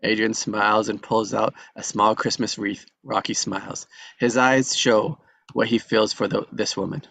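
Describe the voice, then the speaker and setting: Male reader for stage directions, low-pitched, measured delivery. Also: flat delivery, vocal fry, a bit bright, slight background noise.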